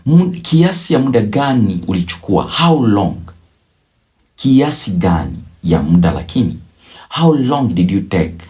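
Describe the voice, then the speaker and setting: A man teaching a beginner language lesson, drilling each phrase slowly and repeating it several times. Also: fairly low pitch, big pitch swings, dark tone. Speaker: English teacher.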